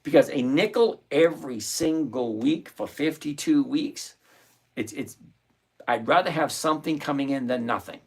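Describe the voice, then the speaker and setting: A man with a gravelly, rough-edged voice that sits a little high for a man. He hosts a live trading stream from a home desk full of screens and talks through his trades out loud. He answers viewers by name in a loose, chatty way. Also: moderate pace. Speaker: livestream host and options trader. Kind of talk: live-stream trading commentary, reading chat aloud.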